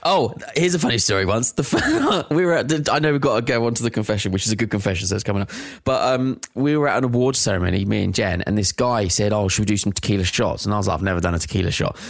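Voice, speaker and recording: A man in easy podcast banter, his voice rising and falling a lot as he tells stories. He slips into quick high-pitched impressions of other people when quoting them. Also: creaky, no echo, clean studio sound. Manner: animated storyteller, acts out quotes with high-pitched outbursts. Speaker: podcast co-host. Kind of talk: casual chat.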